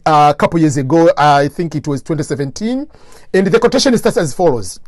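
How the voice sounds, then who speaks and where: Higher-voiced Tanzanian man, motivational speaker. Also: dry recording, clean studio sound. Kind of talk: motivational talk to camera.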